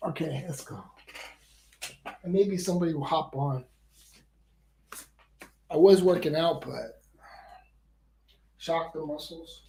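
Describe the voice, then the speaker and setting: A man's voice: fairly high pitch, slow, vocal fry, pauses often, mellow, slight background noise, low-quality recording. Rambling man hosting a casual livestream. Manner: rambling, repeats words, says he stutters. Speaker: livestream host.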